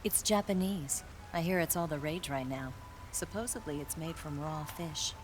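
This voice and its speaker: Woman acting a low-voiced flirtatious spy. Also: normal speed, natural voice. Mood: teasing. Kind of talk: video game cutscene acting. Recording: noisy ambient background.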